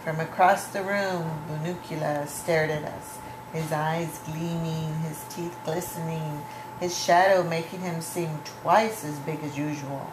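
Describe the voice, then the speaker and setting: A woman reads a storybook aloud to children in a slightly low voice. Her phrasing is slow and dramatic, and the room is echoey with clear background noise. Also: steady tone.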